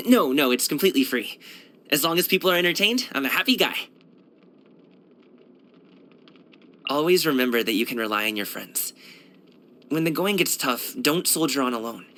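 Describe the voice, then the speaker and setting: Cheerful young kung fu dancer for a game: bright, animated, breezy, casual slang. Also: male voice, medium pitch. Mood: sincere, warm. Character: upbeat young male kung fu street dancer and courier. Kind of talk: video game character voice lines.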